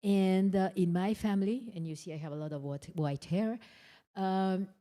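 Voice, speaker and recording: Older woman, mellow. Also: mid-range voice. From Iran. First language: Persian. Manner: earnest, halting, searching for words. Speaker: panelist. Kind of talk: panel discussion remarks.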